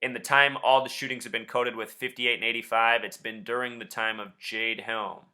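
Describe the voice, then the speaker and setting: Bright-voiced, insistent man. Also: mid-range voice, little pitch movement, normal speed. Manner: insistent, suspicious. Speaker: video host and caller. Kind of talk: conspiracy commentary and confrontational phone questioning.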